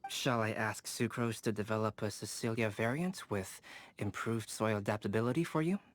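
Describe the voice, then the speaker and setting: A man voicing a calm, precise young alchemist with a formal, deadpan manner in a video game. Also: fairly low pitch, moderate pace, slight background noise, lightly acted. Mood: serious, measured. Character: calm, matter-of-fact young male alchemist scholar. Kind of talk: scripted game voice acting.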